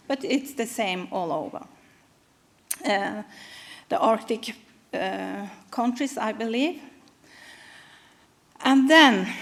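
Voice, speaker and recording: A woman from Norway giving a conference presentation, speaking haltingly with many pauses and fillers. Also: mid-range voice. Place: conference hall.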